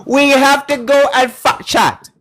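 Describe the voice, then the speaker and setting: A man hosting a live call-in debate stream, pressing callers with insistent questions and joking loudly with his chat. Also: very high-pitched, slow pace, background noise. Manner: lively, shouts and giggles at chat.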